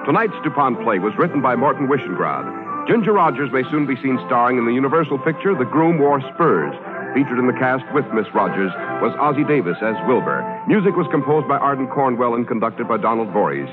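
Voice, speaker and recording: Lively American male announcer over loud music. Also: mid-range voice, fairly quick. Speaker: radio program announcer. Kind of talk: formal 1950s radio announcing, scripted. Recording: tinny old radio broadcast, loud music.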